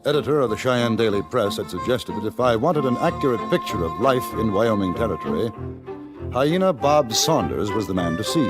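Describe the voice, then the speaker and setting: An actor playing a courteous English reporter in the Old West, speaking in 1950s radio drama style. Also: male voice, medium pitch, natural voice. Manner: measured, articulate, polite.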